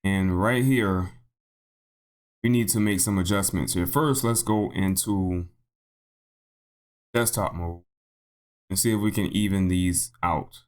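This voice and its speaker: A man narrating a software tutorial in a casual, unscripted way, thinking aloud and repeating himself, his voice slightly hoarse. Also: low voice, slow, long pauses. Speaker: tutorial host.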